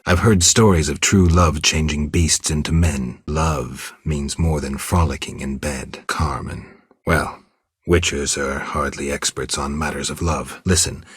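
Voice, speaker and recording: A world-weary, wry monster hunter from a fantasy video game. His voice is extremely deep and heavily husky, recorded close and dry. He speaks in terse, restrained, deadpan phrases with a gruff, stoic temper. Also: no echo, full character voice. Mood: calm, matter-of-fact. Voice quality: gravelly, husky low voice. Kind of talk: video game character dialogue.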